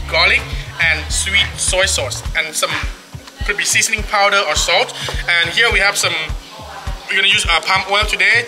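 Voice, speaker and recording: A man leading a casual hands-on cooking demonstration, talking directly and encouragingly to his learners. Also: high voice. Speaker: cooking class instructor. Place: open-sided cooking class kitchen.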